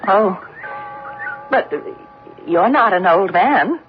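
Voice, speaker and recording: An actress playing a gentle, thoughtful, timid wife, speaking politely and earnestly in an old-time radio drama. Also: female voice, mid-range voice, animated, extremely slow, faint music behind. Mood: polite concern. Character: gentle, thoughtful, timid married woman with philosophical bent. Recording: muffled vintage radio recording.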